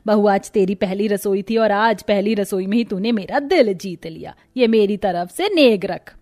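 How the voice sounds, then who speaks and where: A woman's voice: mid-range voice, normal speed, a little mellow, natural voice. A woman voicing a stern, commanding middle-aged mother-in-law in a cartoon family story. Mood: proud, affectionate. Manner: scolding, commanding. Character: stern, easily angered older mother-in-law of the household.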